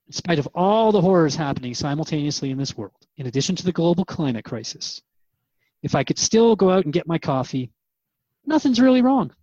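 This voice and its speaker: A man's voice: fairly high pitch, a little mellow, dry recording. Reflective man, dry muffled recording. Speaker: interviewer in a radio drama series. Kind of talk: conversational radio drama dialogue. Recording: dry, slightly dull audio.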